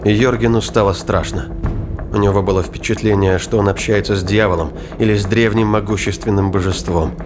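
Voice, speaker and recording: A man's voice: on the low side, steady tone, fairly quick. A man narrating a scripted science fiction audio drama, with music behind his voice.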